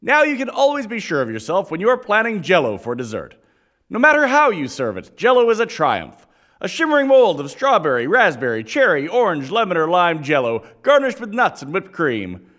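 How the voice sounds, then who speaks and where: A man's voice: sits high, wildly swooping pitch, fairly quick, fairly bright tone, exaggerated voice. A man playing a polished, folksy sponsor's announcer in a 1940s-style radio commercial. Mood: enthusiastic.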